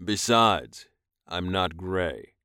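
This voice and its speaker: A smug alien master from an audiobook, acted out by a male narrator. He is commanding and possessive, with a growl in his delivery and a deliberate, amused manner. The sound is very dry. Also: low-pitched, animated, pushed and bright, smooth, no echo, heavily acted. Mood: smug amusement. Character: smug, domineering alien male slave buyer.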